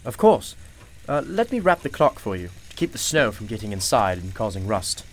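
A man's voice: fairly low pitch, sing-song, moderate pace. A man playing a genial, knowing, mysterious shopkeeper in a radio play, with a courtly, theatrical and cryptic manner. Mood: teasing, courteous.

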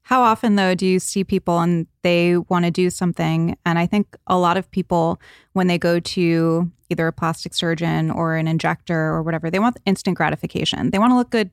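Woman, lower-pitched, chatty interviewer, dry close mic. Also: steady tone, fairly quick, dry recording. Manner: chatty, many affirming "mm-hmm"s. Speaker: podcast host. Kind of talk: casual interview questions.

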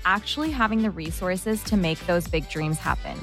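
A woman's voice: on the low side, animated, moderate pace, a bit bright, faint music behind. A woman host reading a breezy, upbeat scripted intro to her podcast.

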